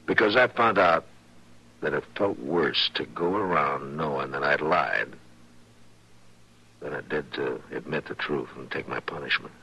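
An actor playing a patient, authoritative frontier marshal in a radio western, speaking plainly and in a measured way with an American accent. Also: male voice, rumbling low, creaky, bright, forceful tone, husky, exaggerated voice, low-quality recording. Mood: gentle, fatherly. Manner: measured, fatherly, plain-spoken. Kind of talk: old-time radio western acting.

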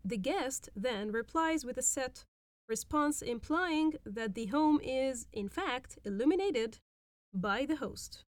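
A woman hosting a podcast and teaching phrases in a patient, explanatory way, repeating words slowly. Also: high voice, expressive, no echo. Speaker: podcast host and Arabic teacher. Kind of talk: language lesson explaining phrases.

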